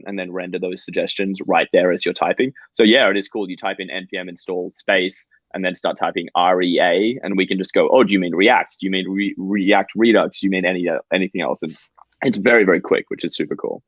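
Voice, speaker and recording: Man, 20s, founder guest; deep voice, muffled dry call sound, brisk and animated. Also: no echo. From Australia. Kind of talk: explaining software on a video call. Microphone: video call microphone. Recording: slightly dull, dry call audio.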